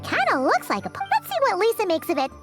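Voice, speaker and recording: Squeaky, chirpy little fairy companion. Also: female voice, huge pitch swings, fast talker, music in the background, heavily acted. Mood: curious. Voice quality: squeaky, childlike. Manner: bubbly, inquisitive. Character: tiny chirpy childlike fairy companion, curious and excitable. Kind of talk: video game voice acting.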